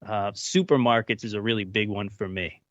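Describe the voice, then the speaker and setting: A man's voice: fairly low pitch, a little fast, a bit bright, dry recording. A man on a discussion panel, talking casually and reflectively, often searching for his words. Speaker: panel participant. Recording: dull, dry, lo-fi sound.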